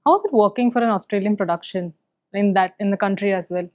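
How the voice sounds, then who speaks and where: A woman, hosting an interview and asking questions in a measured, conversational way. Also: mid-range voice, darkish tone. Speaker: interviewer host.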